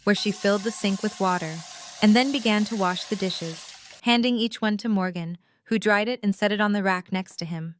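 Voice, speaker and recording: A woman's voice: medium pitch, normal speed. A woman narrating a story, reading scripted third-person prose as the narrator of an audio drama.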